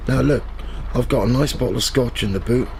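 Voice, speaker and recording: A man's voice: medium pitch, a little fast, darkish tone, a little hiss or hum, close to an everyday voice. A man playing a nosy, cynical, pushy reporter in a radio play, with slangy, sardonic streetwise talk. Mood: pushy, matey. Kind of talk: audio drama dialogue and first-person narration.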